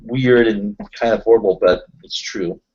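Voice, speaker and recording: A man's voice: lowish voice, fairly even intonation, moderate pace, a little mellow, slight background noise. Self-deprecating man, dull lo-fi sound. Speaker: player in a tabletop roleplaying game. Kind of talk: casual improvised in-character chat.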